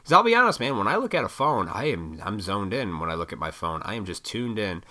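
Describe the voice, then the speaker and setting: Froggy-throated man ranting, low forceful voice. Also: sing-song, fast. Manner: profane, opinionated, rambling. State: froggy throat, clearing it often. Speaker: vlogger. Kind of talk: rambling casual rant to camera.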